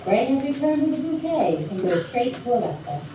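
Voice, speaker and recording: A woman's voice: on the high side, big pitch swings, a little fast, mellow. A woman reading stage directions as scripted narration. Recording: very echoey room, distant, faint noise.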